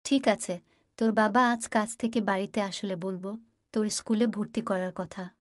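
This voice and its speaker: A woman playing a worried, exasperated poor village mother in a cartoon, plaintive and quick to scold. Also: mid-range voice, fairly even intonation, no room sound, clean studio sound, natural voice. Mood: weary, reluctant.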